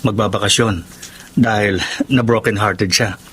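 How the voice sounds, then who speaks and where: A man's voice: lowish voice, fairly even intonation, measured pace, fairly bright tone, slight background noise. A man acting a courteous, reassuring young suitor in a radio drama. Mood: gentle, earnest. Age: young adult.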